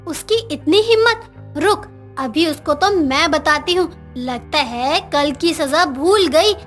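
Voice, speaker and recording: A woman's voice: high voice, animated, takes it slowly, a bit bright, faint music behind, exaggerated voice. A woman playing a stern, scolding middle-aged mother-in-law in a cartoon moral story. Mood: angry, threatening. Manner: scolding, commanding.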